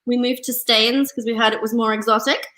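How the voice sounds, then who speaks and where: A woman's voice: fairly high pitch, steady tone, brisk, fairly bright tone. A woman from Kenya chatting as a guest on a comedy show. She sounds a little unsure and giggles as she talks. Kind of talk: casual chat.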